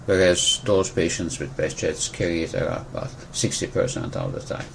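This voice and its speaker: Deep-voiced man. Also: big pitch swings, creaky, slight background noise. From Turkey. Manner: measured, precise, pauses to rephrase. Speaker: physician, disease expert. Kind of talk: explanatory medical interview answers.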